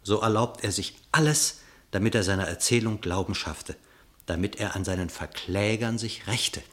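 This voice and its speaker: A man serves as narrator of a classic radio drama. His voice sits a little low, and he recites the verse as measured, scripted storytelling with a literary feel.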